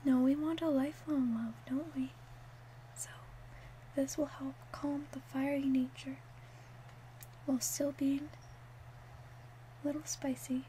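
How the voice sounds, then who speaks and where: Hushed, high-voiced woman playing a witch. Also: steady tone, a little hiss or hum, low-quality recording. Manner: slow, gentle, hushed, deliberate delivery. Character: calm, helpful female witch selling love potions. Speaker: ASMR roleplayer. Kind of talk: ASMR roleplay, soft-spoken and whispered.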